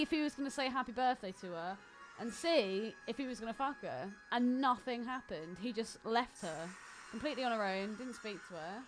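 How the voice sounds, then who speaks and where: A woman's voice: on the high side, rough recording. A woman co-hosting a podcast in a casual chat, gossipy, blunt and emphatic, swearing freely and repeating herself for stress. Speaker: podcast co-host.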